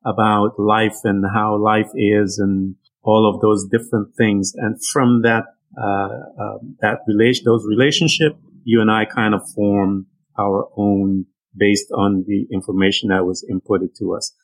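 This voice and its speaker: Man, interviewer, somewhat low voice, relaxed, casual, fillers. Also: measured pace, few pauses, poor audio. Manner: laid-back, calls guest "man".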